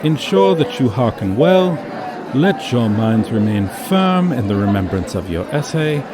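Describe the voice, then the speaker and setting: A man's voice: medium pitch, sing-song, measured pace, music in the background, a crowd in the background. A man voicing a haughty dragon professor in an ASMR roleplay, condescending to a human student in pompous, archaic, formal diction. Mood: stern, haughty.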